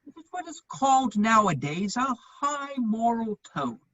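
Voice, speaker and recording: A man playing a witty, loyal gentleman bachelor with a husky voice, declaiming earnestly in a theatrical reading of a period comedy. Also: very high-pitched, big pitch swings, a little slow, no echo, muffled recording, heavily acted. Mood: dry, wry.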